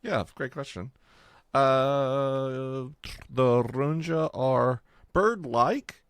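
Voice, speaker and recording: A man co-hosting a podcast, speaking in a measured, conversational way. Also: mid-range voice, clear, resonant tone, dry recording. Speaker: podcast co-host. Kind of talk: conversational discussion.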